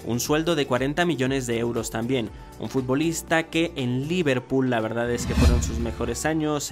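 A man in his thirties presents a football countdown as an online host. His voice sits at a medium pitch, and he delivers the scripted lines in an emphatic presenter style. Music plays behind him. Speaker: YouTube host. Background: background music.

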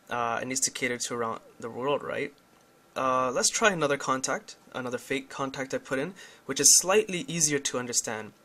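A young man reviews a phone app in a casual, explanatory way. His voice is bright and forward, and he speaks candidly as he walks through each step. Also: medium pitch. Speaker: tech reviewer.

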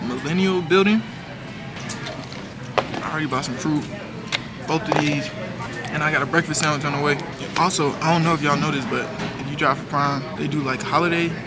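A man's voice: a little high, brisk, pauses often, loud music behind the voice. A young man vlogging casually to a handheld camera in a truck cab and parking lot, chatty and slangy, talking straight to his viewers. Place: truck cab, then parking lot and a large indoor building. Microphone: handheld camera at arm's length.

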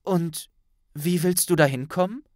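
A man's voice: fairly high pitch, measured pace, dry recording, studio quality, pitched above the performer's own voice, close to an everyday voice. A man voicing a concerned, questioning young witch, a female friend, in an audiobook. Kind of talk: audiobook character voice.

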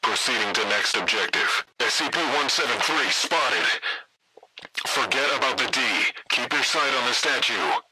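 A man's voice: medium pitch, lively intonation, moderate pace, close to an everyday voice. A man playing a terse, alert containment guard, giving clipped procedural call-outs over the radio. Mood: urgent. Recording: very noisy radio transmission.

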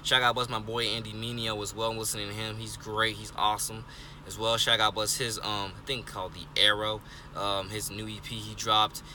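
A man's voice: fairly low pitch, flat delivery, moderate pace, pushed and bright, a little hiss or hum. A twenty-year-old man talking earnestly to his phone from inside a parked car, rambling with lots of fillers and restarts. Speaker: vlogger. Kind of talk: rambling casual monologue. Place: parked car, indoors of vehicle. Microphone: phone held close, selfie framing.

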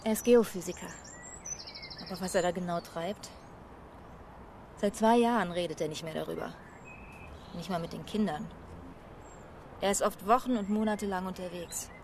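A woman's voice: medium pitch, normal speed, pauses often, a little mellow, a little hiss or hum, close to an everyday voice. A woman playing a middle-aged, poised and evasive wife under questioning in a naturalistic radio crime drama, composed and slightly haughty. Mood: cool, candid. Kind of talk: naturalistic German radio play acting. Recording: faint outdoor ambience with birdsong. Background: birdsong on a terrace.

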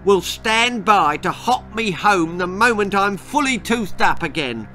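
A man's voice: sits high, animated, measured pace, bright, forceful tone, soft background music, voice pushed up, exaggerated voice. A man voicing a bossy, heartless young girl bully in a children's horror storybook.

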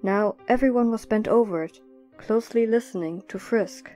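A woman's voice: fairly high pitch, normal speed, dark tone. A woman narrating a scripted story in the third person as the narrator of an audio drama. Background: faint music.

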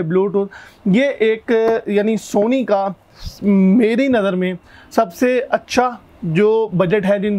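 A man in his thirties with a hoarse throat, explaining camera specs and prices one by one in a shop, recorded on a lapel mic. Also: high voice. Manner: steady explanatory, lists features one by one.

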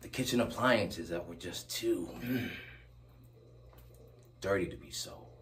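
A man acting a dramatic monologue as a bitter, unhinged character confronting an old acquaintance, halting and volatile, moving between hushed and shouted delivery. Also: low-pitched. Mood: quiet, wry. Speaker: actor performing a monologue. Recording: roomy echo, distant mic, faint noise.

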